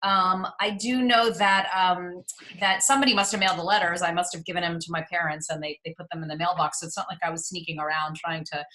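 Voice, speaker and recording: Woman, Midwestern accent, forties, bright lively tone, chatty storyteller. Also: fairly low pitch, fast talker, hardly any pauses, rough recording. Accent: Midwestern American. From New York City. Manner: chatty storyteller, frequent laughs and restarts. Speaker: casting director guest. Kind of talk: casual interview chat.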